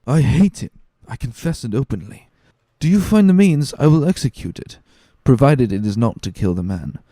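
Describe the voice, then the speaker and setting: Casting: a man as impatient, jealous young lover, brooding and intense, animated delivery for a classic storybook tale. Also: medium pitch, moderate pace, no echo, pitched above the performer's own voice. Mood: bitter, urgent. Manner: urgent, intense.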